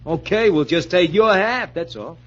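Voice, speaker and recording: Cocky, animated radio-drama hustler, muffled recording. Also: male voice, high-pitched, moderate pace. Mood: scheming. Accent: American. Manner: slangy, brash, sweet-talking. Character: cocky, smooth-talking small-time male hustler and dancer. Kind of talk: old-time radio crime drama acting.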